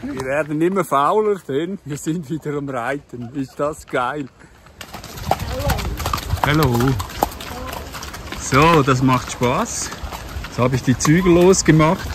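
A woman's voice: deep voice, takes it slowly, pauses often. A woman giving casual travel commentary as a vlogger, recorded outdoors. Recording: noisy outdoor recording, engine and water.

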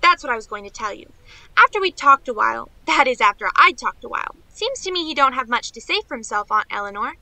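A woman's voice: on the high side, lively intonation, fast talker, creaky, bright, forceful tone, no echo, exaggerated voice, low-quality recording. A woman playing a naive, eager young niece in a radio play, chattering on in rambling run-on sentences. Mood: earnest, excited.

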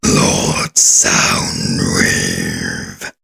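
A man's voice: extremely low pitch, monotone, drawn-out words, gravelly, pushed and bright, slightly rough, voice pushed down, exaggerated voice. A man voicing a cold, menacing giant robot commander from a cartoon, in a guttural growl with ominous pronouncements. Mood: menacing, solemn.

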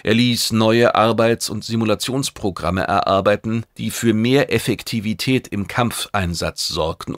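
Low, gravelly male audiobook narrator. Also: a bit bright, no echo, clean studio sound. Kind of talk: scripted dramatic narration of a science fiction novel.

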